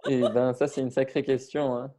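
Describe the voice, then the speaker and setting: A man's voice: mid-range voice, flat delivery, moderate pace, warm tone. A middle-aged man from Iran, a public speaking trainer, talking casually with many hesitations and restarts. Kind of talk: casual interview on video call.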